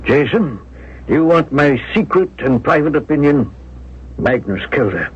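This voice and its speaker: An actor playing a grieving, bewildered man recalling his lost love in a 1970s radio drama, earnest and halting in distress. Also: fairly high pitch, very animated, slightly rough, full character voice. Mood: confiding. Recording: muffled vintage radio broadcast.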